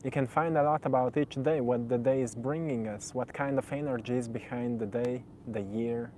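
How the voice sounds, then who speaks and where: A man in his twenties whose first language is Slovenian, talking reflectively to camera. He speaks gently and searchingly, repeating phrases as he builds a thought. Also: medium pitch, steady tone, moderate pace, a little hiss or hum. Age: 20s. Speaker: spiritual video host. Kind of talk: reflective spoken monologue.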